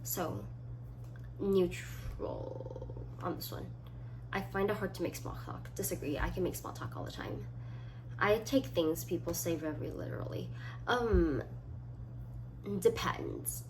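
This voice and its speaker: A woman of about twenty chatting casually as a solo vlogger, reading items aloud and thinking through short, halting answers. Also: fairly low pitch, lively intonation, low-quality recording. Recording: clearly audible background noise.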